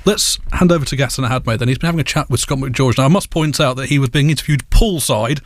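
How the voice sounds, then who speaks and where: A man presenting a radio show, introducing a clip with brisk, jokey links. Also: medium pitch, no echo, studio quality. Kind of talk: studio presenting.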